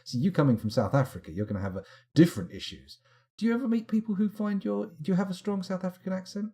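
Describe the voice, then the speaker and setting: A man's voice: sits high, animated, fast, dark tone. A man, chatting and rambling while he streams a game late at night and answers his chat, sounding tired. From England. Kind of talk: casual live-stream chat.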